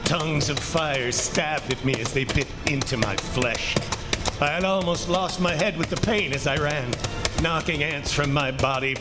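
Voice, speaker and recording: Man acting a slow, commanding radio adventure hero. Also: a little high, natural voice. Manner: declamatory, dramatic, deliberate pauses. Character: bold, defiant male plantation owner, commanding and driven. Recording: loud dramatic music behind the voice. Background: loud music.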